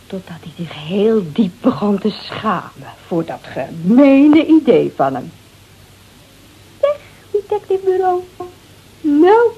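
Brash adulterous housewife, radio drama, Netherlands Dutch accent. High, very animated, slangy and gossipy. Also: female voice, husky, highs cut off, full character voice. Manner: slangy, gossipy, theatrically expressive. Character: brash bored adulterous housewife, flippant, easily rattled.

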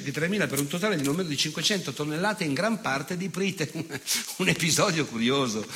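Nostalgic old fascist, theatre monologue, sardonic n gloating, kinda high tense punchy voice. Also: male voice, pushed and bright. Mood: mocking anecdote. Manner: sardonic, gloating, declamatory with emphatic pauses and repetitions. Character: unrepentant, nostalgic old Italian fascist recounting war crimes.

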